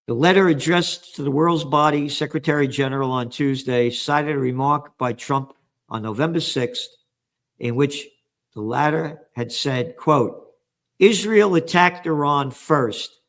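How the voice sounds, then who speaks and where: An older man reads out news headlines and tears into them with angry, profane sarcasm. His voice sits a little high for a man and swings from low grumbling mutters to loud outbursts. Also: a little slow. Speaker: trends commentator and host. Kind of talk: ranting news commentary read from headlines.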